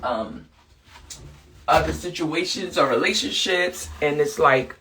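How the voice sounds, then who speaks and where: A woman's voice: sits low, slow, a bit bright, far from the mic, slight background noise. Congested woman in an earnest, rambling chat. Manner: earnest, rambling, many fillers. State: congested from flu. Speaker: podcast host and counselor. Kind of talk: casual motivational talk.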